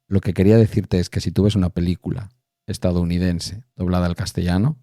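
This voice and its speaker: Guy hosting a podcast, real low voice, rambles on, dry close sound. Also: warm tone, no room sound. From Spain. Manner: rambling, thinking aloud with asides. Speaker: podcast host. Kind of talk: casual chat.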